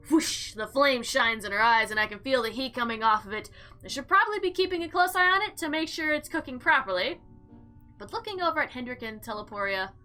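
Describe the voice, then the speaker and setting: A woman giving casual, chatty gameplay commentary and reading visual novel text aloud in an expressive, theatrical way. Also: on the high side. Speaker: game player and narrator. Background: background music.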